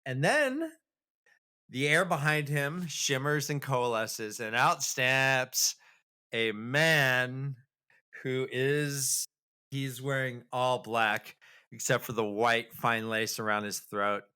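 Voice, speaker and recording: A man co-hosting a casual, comedic podcast, retelling a story in an animated, jokey and halting way. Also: medium pitch, clean tone. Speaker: podcast co-host.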